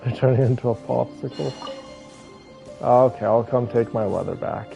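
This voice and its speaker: A man's voice: medium pitch, moderate pace, vocal fry, dull audio. A man chatting casually as he livestreams a game, laid-back and affectionate with his viewers. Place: bedroom, on a bed. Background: soft game music.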